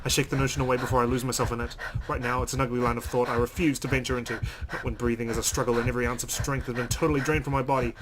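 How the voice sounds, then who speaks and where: A man's voice: medium pitch, little pitch movement, very fast, few pauses, natural voice. Man reading as a shaken horror heroine, noisy recording. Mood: panicked. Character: shaken, exhausted young woman driver narrating horror. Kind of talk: dramatic read-aloud of visual novel narration.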